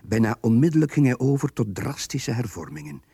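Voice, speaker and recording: A man narrating a period radio drama in measured, literary Flemish-accented Dutch. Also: mid-range voice, sing-song, vocal fry, mellow, breathy edge, no room sound, studio quality, full character voice. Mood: measured narration. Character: measured male storyteller of a period tale.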